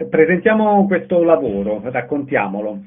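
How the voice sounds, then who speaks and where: A man's voice: on the high side, very animated, normal speed. A man hosting a radio interview, asking questions in a presenter's role. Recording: dull lo-fi radio sound.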